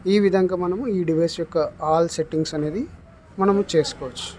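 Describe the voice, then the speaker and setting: A man's voice: high-pitched, normal speed, darkish tone. A man giving an unscripted, explanatory product demonstration, mixing in English technical terms. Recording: clear background noise, room ambience.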